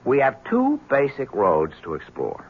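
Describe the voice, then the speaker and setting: An actor playing a persistent male newspaper reporter who keeps probing with quick questions in a 1970s radio drama. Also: mid-range voice, wildly swooping pitch. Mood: blunt. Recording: muffled old radio broadcast with hiss.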